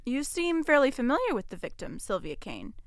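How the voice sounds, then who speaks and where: A wry female detective acted by a woman in an audio drama. She has a smooth voice with very mobile pitch, and she questions with dry sarcasm and tired persistence. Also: big pitch swings, fairly quick, no room sound. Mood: probing, firm.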